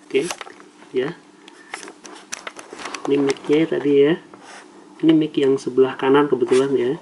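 A man's voice: mid-range voice, fairly even intonation, unhurried, warm tone, a little hiss or hum, poor audio. An Indonesian man giving a casual, conversational hands-on tutorial as a vlogger. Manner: conversational, often tags phrases with "ya".

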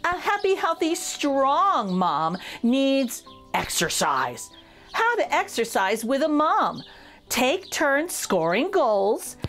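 A woman reads a picture book aloud for children in an expressive, playful way, addressing the young listeners directly. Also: high-pitched, takes it slowly, soft background music.

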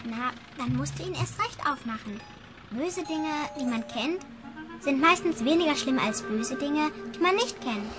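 Casting: actress as forthright young girl, high lively voice, children's audio drama. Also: unhurried, music in the background. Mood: insistent. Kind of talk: German children's radio play acting.

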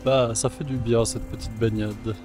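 A man's voice: medium pitch, moderate pace, over music. Casual man vlogging outdoors by a river. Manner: relaxed and chatty, thinks aloud, swears casually, many fillers. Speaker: solo kayak traveller vlogging his trip. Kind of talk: casual vlog narration to camera.

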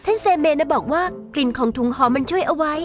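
Woman as a very high-voiced gentle anime girl. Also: quick pace, soft background music, close to an everyday voice. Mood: shy. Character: gentle, apologetic young noble girl, shy and sweet. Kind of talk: Thai anime dub acting.

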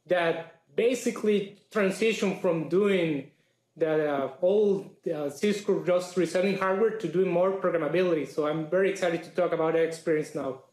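A man's voice: sits high, moderate pace, darkish tone. A middle-aged man with a Costa Rican Spanish accent, introducing himself semi-formally as a panel guest. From Costa Rica. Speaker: chief innovation officer, panel guest. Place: office meeting room, indoors. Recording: echoey office video call.

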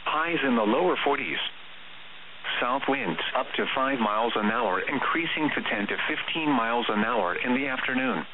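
A synthetic-sounding male announcer reads a scripted weather bulletin in a measured, formal way over broadcast radio. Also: medium pitch, animated. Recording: hissy, narrowband radio reception.

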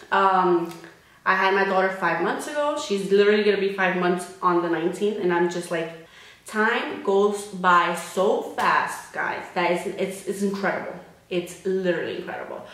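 A young woman in her twenties vlogging casually to camera, animated and expressive, slipping Spanish words into her English. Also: medium pitch. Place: bare indoor room. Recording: echoey bare room.

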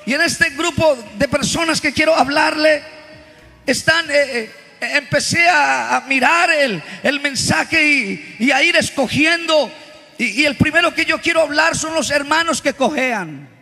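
A middle-aged man preaching a fervent Pentecostal sermon into a handheld mic on a large church stage, rising to shouts. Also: very high voice, vocal fry. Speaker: pastor. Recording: long hall reverb.

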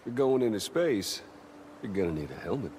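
An actor playing a gruff but gentle middle-aged father figure, understated and affectionate, in a video game. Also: lowish voice, big pitch swings, moderate pace. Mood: teasing, easygoing. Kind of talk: naturalistic video game acting. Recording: faint ambient background noise.

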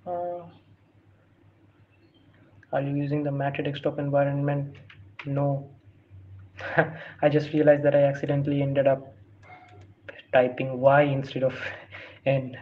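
A man's voice: mid-range voice, level tone, takes it slowly, long pauses. A man who is not a native English speaker walks through a screen-recorded tutorial, reading prompts aloud with many fillers and restarts. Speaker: tutorial host. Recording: dull lo-fi sound with faint hiss.

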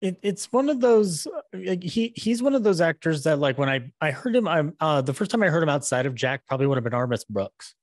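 A man, chatting casually in a halting, enthusiastic way into a close podcast mic. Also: a little high, sing-song, dry recording. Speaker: podcaster, panel host. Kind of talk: casual chat. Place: small room at home, on a video call. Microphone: close podcast microphone on boom arm.

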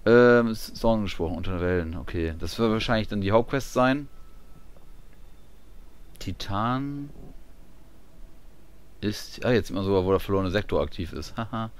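Deep-voiced, laid-back gamer man. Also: normal speed, long pauses, smooth. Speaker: gaming video player. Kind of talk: casual let's-play commentary.

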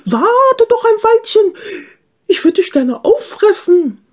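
A woman's voice: very high-pitched, sing-song, takes it slowly, voice pushed up, heavily acted. A woman voicing a hungry, coaxing duck with a squawky quack in a fairy tale. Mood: eager, coaxing.

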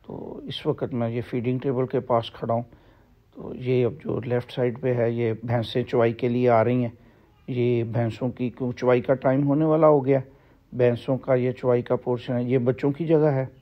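A man gives a walking tour of his livestock farm outdoors, explaining things plainly with frequent pauses and fillers, recorded on a handheld phone. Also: mid-range voice, dull audio. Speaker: farm owner giving a tour. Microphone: phone camera held while walking.

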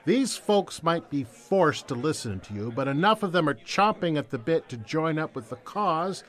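An actor playing a sardonic, hard-headed rebel war leader in an audio drama. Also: male voice, on the high side, big pitch swings, normal speed. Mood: cutting. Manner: sardonic, confrontational.

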